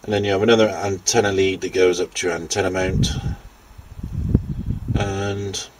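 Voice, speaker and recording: A man giving an informal hands-on technical walkthrough, unscripted and halting as he thinks aloud. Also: sits low, flat delivery. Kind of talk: informal hands-on show-and-tell narration. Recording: clearly noisy handheld amateur recording.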